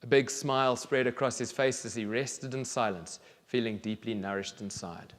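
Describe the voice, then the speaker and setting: A man in his thirties reads a children's story aloud on stage in a deliberate, expressive way with pauses. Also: mid-range voice. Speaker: children's author reading aloud. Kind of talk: storybook reading to an audience. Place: large theatre stage, indoors. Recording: reverberant theatre hall.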